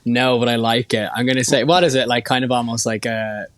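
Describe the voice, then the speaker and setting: A man of thirty with a softened Irish accent, chatting casually as a hairstylist guest, self-deprecating and full of "like" fillers. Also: medium pitch, fairly even intonation, fairly quick, no echo. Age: 30s. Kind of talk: casual interview chat.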